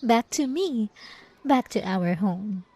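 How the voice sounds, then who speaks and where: A woman playing a possessive, obsessive ex-girlfriend in an ASMR roleplay, with drawn-out, cooing condescension and sweet menace. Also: mid-range voice, animated, dark tone. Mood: possessive, coaxing. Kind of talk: ASMR roleplay monologue to the listener.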